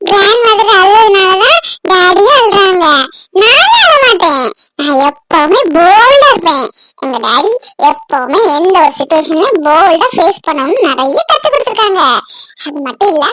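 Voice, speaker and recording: Very high-pitched, bold little girl. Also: very animated, moderate pace, fairly bright tone, dry recording, full character voice, poor audio. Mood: plucky, reassuring. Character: bold, excitable little granddaughter who loves her grandparents.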